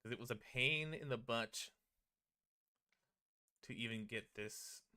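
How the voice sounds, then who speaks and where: A man's voice: mid-range voice, slow pace, bright, forceful tone, smooth. A man doing casual livestream commentary on a visual novel, chatting informally and reading on-screen text aloud. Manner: informal, occasional swearing. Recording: music playing behind the voice. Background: game music.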